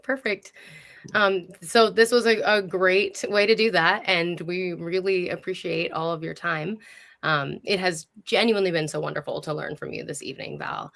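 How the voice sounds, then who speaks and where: Lower-pitched woman hosting an interview. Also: steady tone, normal speed, gravelly, fairly bright tone. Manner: appreciative, chatty. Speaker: show host.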